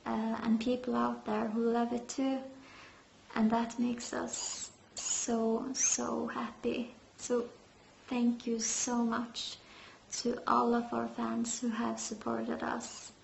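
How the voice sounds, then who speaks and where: Halting woman, slow and gravelly. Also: on the high side, completely flat delivery, a little hiss or hum. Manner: hesitant, many fillers. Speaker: metal band vocalist. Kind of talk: interview answers. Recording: roomy, dull lo-fi sound.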